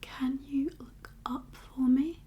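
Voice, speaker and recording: A woman whispering as a doctor in an ASMR exam roleplay, giving gentle, reassuring instructions in a breathy voice. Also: high-pitched, steady tone, slow, muffled recording. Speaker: ASMR performer playing a doctor.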